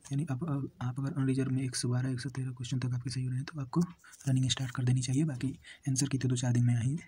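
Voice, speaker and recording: A young man with a husky voice, talking informally through an exam review, thinking aloud, repeating himself and dropping in English words. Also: mid-range voice, flat delivery, fast, dark tone. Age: young adult. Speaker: exam candidate giving paper analysis and advice.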